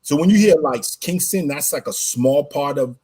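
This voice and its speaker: A man's voice: a little high, lively intonation, moderate pace, dark tone, slightly rough. A man born in Jamaica and raised in the US, talking loosely in a casual interview with lots of "you know" and "right?". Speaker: podcast co-host being interviewed.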